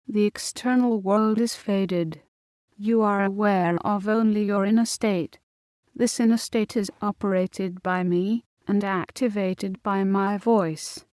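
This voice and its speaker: A woman reading a scripted hypnosis recording as the hypnotist, giving slow, calm, commanding instructions. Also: medium pitch, no room sound, studio quality.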